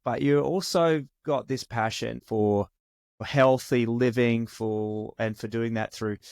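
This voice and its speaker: A man hosting a podcast with a smooth, resonant voice, recorded dry and close. He asks friendly questions in a halting way, full of ums and restarts. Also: medium pitch, steady tone, darkish tone, dry recording. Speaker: podcast host. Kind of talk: casual interview.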